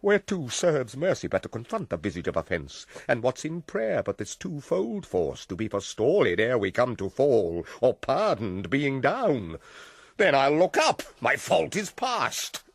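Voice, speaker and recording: An actor playing a scheming, guilt-ridden usurper king in a Shakespearean radio drama, grave and declamatory in a husky voice. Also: medium pitch, wildly swooping pitch, a little slow, dry recording, exaggerated voice. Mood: anguished, guilty.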